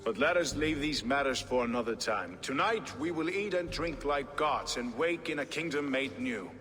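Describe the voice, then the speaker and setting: An actor playing a calm, calculating young Norse king, speaking in measured, regal game dialogue. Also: medium pitch, soft background music. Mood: cool, commanding. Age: young adult. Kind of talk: dramatic scripted game dialogue. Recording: echoing hall, faint background noise.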